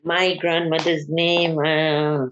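An older woman raised in Uganda, recalling family members in an interview, slow and halting with many fillers. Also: low voice, level tone, slight background noise. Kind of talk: family oral history interview. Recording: dull lo-fi recording.

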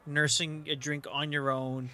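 A man's voice: a little high, little pitch movement, measured pace, pushed and bright. A man hosting a podcast from a hotel bar, asking friendly questions with short interested prompts. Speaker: podcast host. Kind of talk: casual interview chat.

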